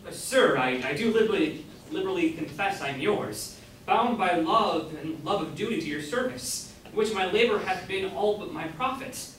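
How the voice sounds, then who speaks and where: A man's voice: sits high, expressive, normal speed, a bit bright. A man playing an earnest, dutiful young apprentice in love in a staged reading of a period comedy. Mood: earnest, deferential. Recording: echoey room from far off, faint noise.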